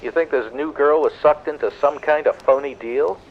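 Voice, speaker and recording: A man's voice: on the high side, normal speed, highs cut off, slight background noise, close to an everyday voice. A man playing a wry, tough police lieutenant with wisecracking patter in a 1940s-style noir radio drama. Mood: businesslike.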